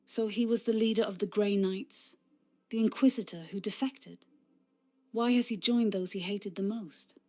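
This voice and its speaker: A woman acts a young female rookie soldier in a fantasy game. Her voice is mellow and mid-pitched, earnest and respectful toward her superiors. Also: fairly even intonation, normal speed, close to an everyday voice. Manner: earnest, deferential. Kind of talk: dramatic video game cutscene acting.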